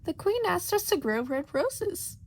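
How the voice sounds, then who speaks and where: A woman as a very high, sing-song card gardener. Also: big pitch swings, a little slow, a little hiss or hum, exaggerated voice. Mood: anxious, theatrical. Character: theatrical male playing-card gardener, anxious and sing-song rhyming. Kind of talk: fan fiction audiobook character voice.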